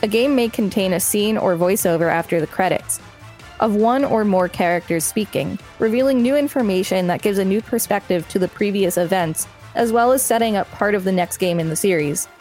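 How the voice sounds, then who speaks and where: A woman's voice: medium pitch, a little fast, darkish tone, soft background music. A woman co-hosting a podcast, reading an encyclopedia article aloud and adding casual asides. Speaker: podcast co-host.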